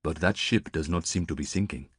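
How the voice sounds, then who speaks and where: A man's voice: very deep voice, a little fast, a bit bright, slightly rough, no echo, clean studio sound, exaggerated voice. A man voicing a young runaway nobleman turned miner, polite and cautious. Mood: wary.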